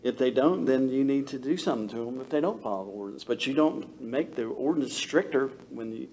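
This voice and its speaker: A mid-pitched man speaks up at a town meeting, folksy and emphatic. He restarts his sentences often as he argues. Faint room noise sits underneath. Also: lively intonation, fairly quick, darkish tone, low-quality recording. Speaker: local builder. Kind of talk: public comment at a meeting.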